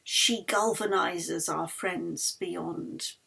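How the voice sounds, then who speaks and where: A woman's voice: lowish voice, fairly even intonation, extremely slow, gravelly, sharp tone, slightly rough, pitched below the performer's own voice, heavily acted, poor audio. A woman voicing a fervent, grandiose male baron who believes in spirits, in an audiobook. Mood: measured, assured. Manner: impassioned, grandiose, persuasive. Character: earnest, fervent Swedish baron devoted to spiritualism. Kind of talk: audiobook character dialogue.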